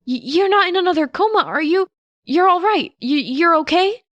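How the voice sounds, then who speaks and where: A woman voicing an anxious, caring, emotional teenage boy with a halting stammer for a story reading. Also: very high voice, expressive, no echo, dull audio, pitched above the performer's own voice. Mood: anxious amazement. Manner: stammering, halting, breathless rambling. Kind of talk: audiobook character voice.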